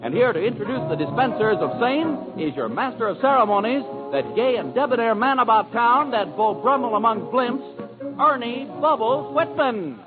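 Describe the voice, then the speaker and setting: A man's voice: very high voice, big pitch swings, normal speed, over music. A man announcing a 1940s radio show with theatrical, jive-talking showmanship. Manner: theatrical, hep slang showmanship. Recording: tinny old 1940s radio recording.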